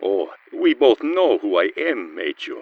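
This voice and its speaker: Husky russian hitman, pompous and theatrical, lively swoops, muffled with faint music. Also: lowish voice, dry recording, full character voice. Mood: smug, menacing. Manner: pompous, deliberate. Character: smug, theatrical Soviet assassin who fancies himself an artist. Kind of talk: comic spy radio play. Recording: muffled recording with music behind.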